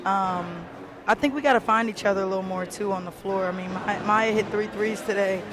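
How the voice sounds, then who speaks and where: A woman's voice: medium pitch, a little fast, background noise. A woman coaching college basketball, giving a matter-of-fact, analytical postgame radio interview off the cuff.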